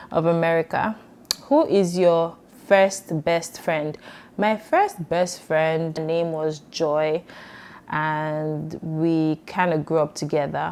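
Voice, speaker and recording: A woman's voice: low voice, a little mellow, smooth. A young Nigerian woman vlogger with a Nigerian accent, chatting casually and rambling through personal questions. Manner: chatty, rambling, laughs often. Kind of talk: casual get-to-know-me chat.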